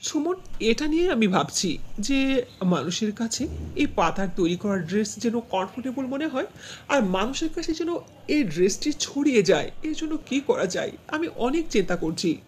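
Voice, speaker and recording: A woman's voice: a little high, sing-song, normal speed, a little mellow, slight background noise. A woman voicing a businesslike, emphatic man who owns a shop and factory, in a fairy-tale cartoon. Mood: thoughtful. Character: male shop and factory owner, businesslike customer.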